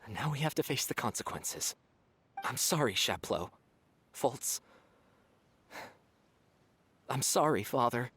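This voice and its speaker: A man voicing a resigned, contrite young member of a secret house in a video game, with a husky voice. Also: mid-range voice, expressive, moderate pace, a bit bright.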